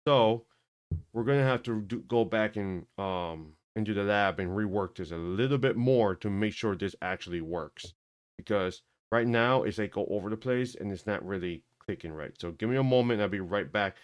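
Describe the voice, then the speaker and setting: A man hosting a tech video, chatting casually and thinking aloud as he tests a game, often halting and correcting himself. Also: lowish voice, clean tone. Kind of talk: casual commentary while testing a game.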